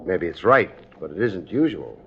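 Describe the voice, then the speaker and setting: Wry male private detective, American, lowish voice, deadpan, 1950s radio drama, muffled old recording with music. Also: moderate pace, background noise. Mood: cool, wry. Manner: deadpan, wisecracking. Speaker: lead actor and narrator.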